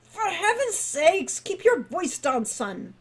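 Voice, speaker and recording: A woman's voice: sits high, expressive, unhurried. A woman voicing a peevish, rambling old male dwarf guildmaster who stammers as he talks. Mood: conspiratorial.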